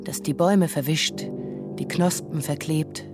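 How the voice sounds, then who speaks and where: A woman's voice: low-pitched, fairly even intonation, unhurried. A woman narrating a literary radio drama in scripted, descriptive prose. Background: music.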